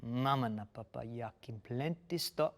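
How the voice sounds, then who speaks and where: A man playing a small, excitable, superstitious creature in a fantasy table read, speaking broken pidgin mixed with invented words. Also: medium pitch, measured pace, lightly acted. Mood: manic excitement.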